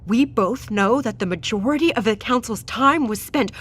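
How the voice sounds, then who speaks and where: A woman's voice: fairly high pitch, moderate pace, fairly bright tone, breathy edge. A woman playing a defiant, self-justifying doctor accused of treason in an audio drama, argumentative and articulate. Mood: defiant, heated.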